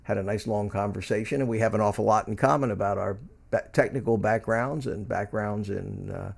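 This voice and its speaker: A man's voice: lowish voice, a little fast, creaky, breathy edge. A man in his seventies from Philadelphia, hosting a casual morning livestream, rambling with many ums and restarts as he reads out and answers viewer chat. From Philadelphia, USA. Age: 70s. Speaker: livestream host.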